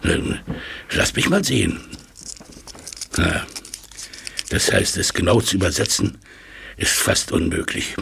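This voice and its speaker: A man acts out a learned, courteous gentleman of mature years for a radio play. His low voice is very husky and creaks with gravel, and he muses formally and deliberately, with lively inflection. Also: exaggerated voice. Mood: musing. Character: courteous older male scholar translating a cryptic message. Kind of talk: German audio drama acting.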